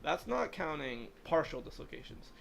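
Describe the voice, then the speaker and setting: Gravelly young man rambling casually. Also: a little high, big pitch swings, normal speed, a bit bright, slight background noise. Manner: rambling, heavy use of "like" fillers. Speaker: livestream host. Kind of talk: casual chat while gaming.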